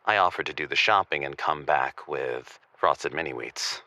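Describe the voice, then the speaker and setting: A man playing a stubborn, prim intellectual caller on a radio comedy show, precise and deadpan in his indignation. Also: very deep voice, moderate pace, dry recording, full character voice. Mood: deadpan grievance. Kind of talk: radio call-in comedy drama.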